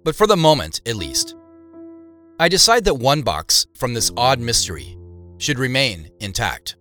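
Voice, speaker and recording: A man narrating a scripted audio drama in the first person as a reflective investigator, with measured, descriptive storytelling. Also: mid-range voice, very animated, fairly bright tone, faint music behind. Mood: subdued, pensive. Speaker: narrator and lead character.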